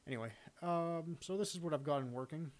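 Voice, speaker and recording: A man giving a casual, unscripted screen-recording walkthrough of his own software, halting as he thinks aloud. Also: a little high. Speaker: software hobbyist demonstrating own add-on. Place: indoors, at a computer recording the screen.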